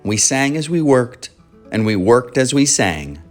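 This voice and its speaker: Guy doing a scripted kids story read-aloud, measured and clear, soft guitar music behind him. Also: mid-range voice, big pitch swings. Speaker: children's read-aloud show host.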